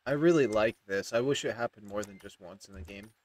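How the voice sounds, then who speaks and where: A man's voice: lowish voice, brisk. A man, casually commenting on a game as he plays and repeating himself. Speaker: game streamer. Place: indoor room.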